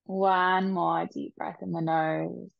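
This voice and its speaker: A woman leading a guided meditation with soft, soothing instructions. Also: on the low side, level tone, vocal fry, no echo, lo-fi sound. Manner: soft, slow, soothing instructions with long pauses.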